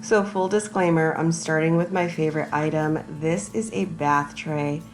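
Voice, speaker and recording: A woman narrating a casual shopping vlog, hoarse and raspy from a sinus infection, chatty and enthusiastic as she talks to her viewers. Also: low voice, fairly even intonation, normal speed, music in the background. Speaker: shopping vlogger. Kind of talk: casual shop-with-me voice-over.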